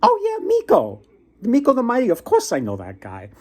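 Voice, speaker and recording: A man's voice: very high-pitched, huge pitch swings, moderate pace, a little mellow, pitched above the performer's own voice, heavily acted. A man puts on a nervous, gullible storybook king. He blusters in a raised, flustered voice, eager to seem like he is in the know. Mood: bluffing.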